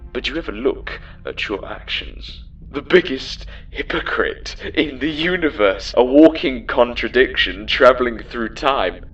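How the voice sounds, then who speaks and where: A man's voice: medium pitch, fairly bright tone, breathy edge, muffled recording, heavily acted. A man voicing a sarcastic, camp AI villain in an audio drama, with a theatrical, mocking, sinister delivery. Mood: menacing taunting.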